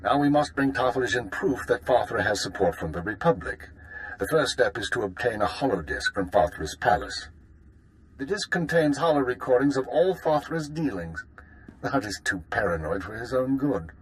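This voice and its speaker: An actor playing a commanding imperial spymaster in a video game, measured, formal and dry. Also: male voice, medium pitch, sing-song, slight background noise, natural voice, poor audio. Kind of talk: video game cutscene acting.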